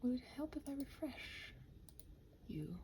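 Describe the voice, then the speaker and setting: A woman rambling casually to listeners as she works, muttering and thinking aloud in a self-deprecating way, her soft voice often dropping near a whisper. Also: high voice, very animated, unhurried, slight background noise. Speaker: audio roleplay and ASMR creator.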